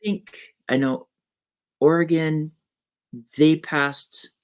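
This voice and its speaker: A woman from the US West Coast, hosting a podcast and chatting in a relaxed, conversational way. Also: sits low, very slow. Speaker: podcast host. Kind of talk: casual interview chat.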